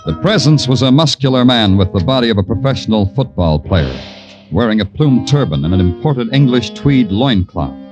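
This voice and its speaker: An actor playing a wisecracking, hard-boiled New York police detective in an old radio drama, sardonic in dialogue and florid in narration. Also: male voice, medium pitch, very animated, normal speed, over music, lightly acted. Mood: wry. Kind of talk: 1940s-50s radio detective drama acting.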